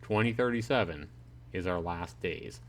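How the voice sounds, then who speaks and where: A man with a lowish voice hosts a casual gaming livestream. He moves between reading story text aloud and commenting on it in an informal, joking way, talking directly to his chat. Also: animated, moderate pace. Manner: informal, joking, chatty with viewers. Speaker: livestreaming gamer reading a visual novel to chat.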